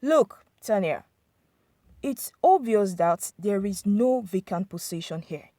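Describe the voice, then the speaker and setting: A woman's voice: mid-range voice, lively intonation, takes it slowly, dark tone, no echo, studio quality. A woman voicing an arrogant, coldly propositioning male company boss in a folktale narration.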